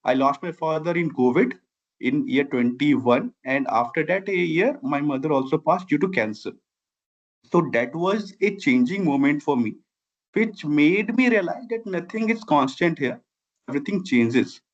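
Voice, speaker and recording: A man's voice: fairly high pitch, animated, moderate pace. A middle-aged man from Bihar, a native Maithili speaker with an Indian accent, earnestly telling his own story as an interview guest. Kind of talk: conversational personal storytelling. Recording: dull, lo-fi audio.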